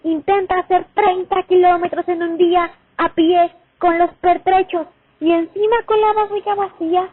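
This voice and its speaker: A woman's voice: very high-pitched, little pitch movement, normal speed. A woman playing a young Soviet sniper haunted by her war memories in a radio play. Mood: angry, scolding. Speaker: actor in a student radio drama. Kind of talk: dramatic first-person monologue, radio play. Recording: muffled phone-like recording.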